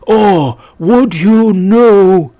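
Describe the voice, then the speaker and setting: Mournful ghost for a storybook, really slow wailing words, pitched way up and loud. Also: male voice, full character voice. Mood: slow, ominous. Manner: slow, drawn-out, wailing declamation. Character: mournful, doomed ghost of a dead businessman.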